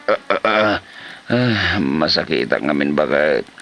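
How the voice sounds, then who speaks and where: A man acting as a lazy, sly Ilocano husband in a comedy drama. His voice is bright and swings widely in pitch as he whines out exaggerated excuses with an Ilocano accent. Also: expressive, takes it slowly, creaky, dull audio, faint music behind, exaggerated voice. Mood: feigned pain.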